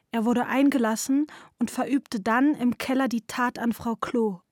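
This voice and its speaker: An actress playing an adult daughter giving a measured, matter-of-fact witness statement in a documentary radio play. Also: female voice, a little high, dry recording, studio quality, lightly acted. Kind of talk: scripted documentary radio play, formal reading.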